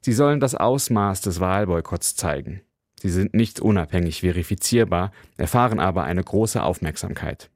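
A man filing a scripted radio news report as a correspondent, measured and matter-of-fact. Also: deep voice, no echo, clean studio sound.

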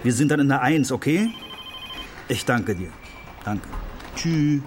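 An actor playing a flustered, fussily polite police officer in a German children's radio play, speaking hesitantly and repeating himself. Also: male voice, mid-range voice, animated, unhurried, background noise, lightly acted. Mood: puzzled, attentive. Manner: hesitant, repetitive, fussily courteous.

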